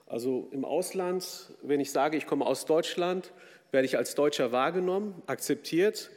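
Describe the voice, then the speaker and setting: A man's voice: on the high side, moderate pace, darkish tone. A man from a Kurdish background raised in Germany giving a formal, earnest talk with frequent hesitations. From Kurdish from Turkey, raised in Germany. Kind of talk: formal speech with fillers. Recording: long hall reverb.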